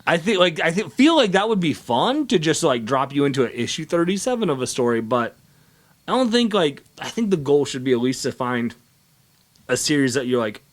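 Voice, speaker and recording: A man with a lively, widely swinging pitch hosts a casual vlog. He is upbeat and coaxing, chatting with his partner and turning to the viewers like a friendly gang leader. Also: a little fast. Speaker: host of a comic book video series.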